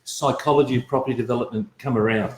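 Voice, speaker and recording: A man's voice: medium pitch, fairly even intonation, fast talker. An Australian man co-hosting a casual chat, chiming in with short interjections and repeating what his partner says. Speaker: co-host. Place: indoors on a couch, echoey room. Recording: roomy, echoing sound.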